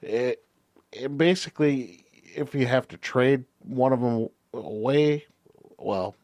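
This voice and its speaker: A man's voice: mid-range voice, slow, darkish tone, no room sound. Easygoing guy on a sports podcast, lots of "you know", no room sound. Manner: agreeable, many you-knows and restarts. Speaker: podcast co-host. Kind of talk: casual sports chat.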